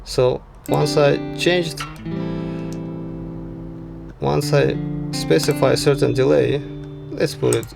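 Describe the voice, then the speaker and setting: A man narrating an unscripted software tutorial as the tutor, talking haltingly and often checking in with a short tag word. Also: mid-range voice, fairly even intonation, gravelly, loud music behind the voice. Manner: halting, frequent "yeah" check-ins. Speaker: sound design tutor.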